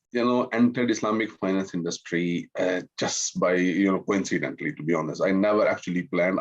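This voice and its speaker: A man's voice: low voice, muffled recording. A middle-aged man from Pakistan and France speaking courteously and conversationally as a webinar guest, often adding small asides. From Pakistan-born, grew up in France. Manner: courteous, with frequent "you know" asides. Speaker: webinar guest, Islamic finance professional. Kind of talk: conversational personal introduction in a webinar.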